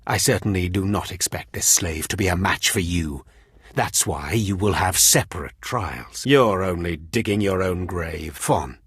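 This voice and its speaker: An actor playing a cruel, contemptuous Sith overseer in a video game, sneering and commanding with a husky, menacing voice. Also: male voice, low-pitched, fairly bright tone, no echo, clean studio sound, heavily acted. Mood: haughty, contemptuous. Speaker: villainous trial master in a video game. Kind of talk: scripted game voice acting.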